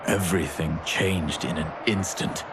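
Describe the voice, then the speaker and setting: An actor playing a proud deposed warrior emperor in a video game, solemn and deliberate. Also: male voice, sits low, fairly even intonation, noisy background. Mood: bitter, grave. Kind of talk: cinematic video game acting. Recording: loud music behind the voice. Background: loud music.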